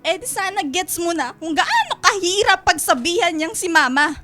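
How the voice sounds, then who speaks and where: A woman's voice: very high voice, sing-song, moderate pace, pushed and bright, faint music behind, full character voice. A woman playing a worried, reproachful adult daughter in a Filipino radio drama, pleading and accusing with open emotion. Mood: frustrated, defensive.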